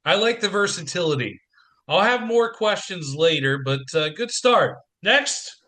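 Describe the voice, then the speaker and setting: An actor playing a wry investor and young father in an audio play, brisk, wisecracking and in charge. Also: high-pitched, lively intonation, sharp tone, full character voice. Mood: wry, businesslike.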